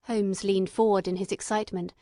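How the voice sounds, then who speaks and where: A woman's voice: medium pitch, little pitch movement, a little fast, mellow, dry recording. Woman narrator with a dry, close sound. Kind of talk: scripted audiobook narration of a classic mystery.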